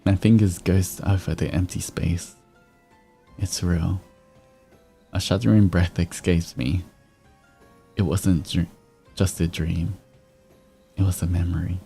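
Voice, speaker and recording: A man's voice: low voice, fairly even intonation, slow, vocal fry, long pauses. A man hosting a livestream, moving between reading fan fiction and chatting casually with viewers. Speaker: VTuber streamer host. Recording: soft music behind. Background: background music.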